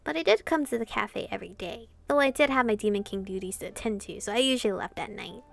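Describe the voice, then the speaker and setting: A woman's voice: mid-range voice, fast, vocal fry, soft background music. A woman reading a visual novel aloud expressively and commenting casually as she plays. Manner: animated reading, reacting informally to the story.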